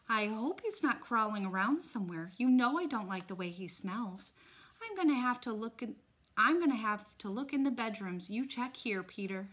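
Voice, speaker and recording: A woman plays a hurried, fretting mother who rattles off her words. Her voice is raised a little above the reader's own in a read-aloud storybook. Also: fairly high pitch, animated, a bit bright, husky. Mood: worried. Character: busy mother, fussy and hurried.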